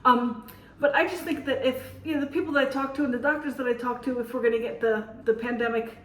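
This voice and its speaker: A woman's voice: a little high, fairly even intonation, fast, a bit bright, echoey room, recorded from a distance, low-quality recording. A woman with an American accent, a teacher, chatting casually to her vlog audience in a rambling way, with many fillers and restarts. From United States. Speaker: teacher and vlogger. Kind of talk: casual vlog monologue and storytelling.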